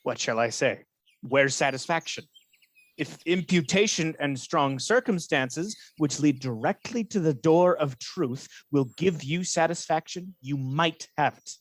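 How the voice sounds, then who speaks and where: A man playing a scheming, falsely honest soldier in a Shakespearean radio drama, speaking in a measured, insinuating manner. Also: on the high side, no room sound. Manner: insinuating, measured, feigned reluctance.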